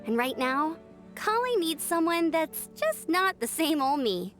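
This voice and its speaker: A woman's voice: extremely high pitch, sing-song, unhurried, fairly bright tone, faint music behind, heavily acted. A woman voicing a caring, earnest young woman in an anime game.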